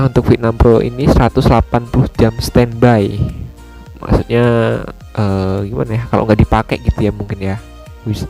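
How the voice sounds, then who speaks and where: A man talks through a product review in an informal, explanatory way. His voice sits fairly low and has a very mellow, dark tone. He restates points for emphasis, with faint music behind him. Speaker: motovlogger reviewing gear.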